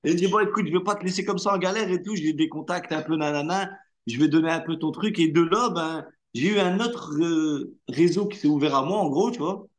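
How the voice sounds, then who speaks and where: A man whose first language is Arabic, an actor telling stories in a relaxed interview, chatty, slangy and full of fillers. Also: fairly high pitch, fairly quick. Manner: animated anecdote-telling with street slang and many fillers. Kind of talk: casual interview storytelling.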